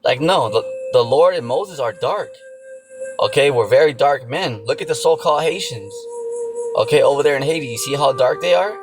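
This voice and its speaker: A man's voice: a little high, expressive, normal speed. A man giving an informal sermon, repeating himself and often checking in with the listener. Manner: repetitive, filler-heavy, keeps checking in with "okay" and "you know". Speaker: religious teacher. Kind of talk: informal preaching. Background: music.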